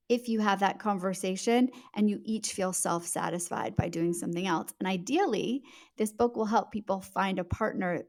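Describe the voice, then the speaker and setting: A woman with a mid-pitched voice talks as a guest author in an interview. She answers conversationally and earnestly, stretching key words for emphasis. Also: darkish tone.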